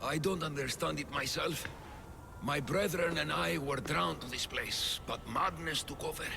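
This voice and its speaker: A man's voice: on the high side, normal speed, creaky, noisy background. An actor playing a wounded, dying male cultist who speaks in a weak, strained, ominous way and keeps coughing. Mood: haunted. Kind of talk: game cutscene acting.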